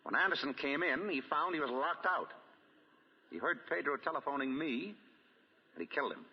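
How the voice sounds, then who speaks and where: Dull old radio recording, shrewd detective, swooping intonation, husky voice, accusing, deliberate. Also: male voice, fairly high pitch, lively intonation, big-room sound. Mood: probing. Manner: probing, deliberate, accusing questioning. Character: shrewd, composed male amateur detective laying out a case. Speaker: radio drama actor. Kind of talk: 1940s radio drama acting. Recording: dull, lo-fi 1940 radio broadcast.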